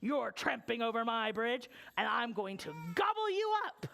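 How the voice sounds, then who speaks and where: A woman voicing a mean, gruff, hungry male troll from a children's story, growly and menacing. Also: a little high, very animated, moderate pace, fairly bright tone, heavily acted.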